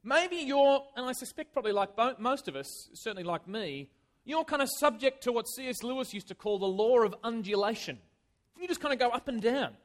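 High-voiced man preaching a chatty sermon. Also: a little fast. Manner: chatty and self-deprecating, with lively swings of emphasis. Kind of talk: conversational sermon. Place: church.

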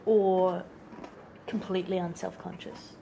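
A woman comedian co-hosting a casual podcast, speaking in a dry, deliberate way with careful word choice. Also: lowish voice, flat delivery, gravelly, background noise. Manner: dry, deliberate, precise wording. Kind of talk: casual chat.

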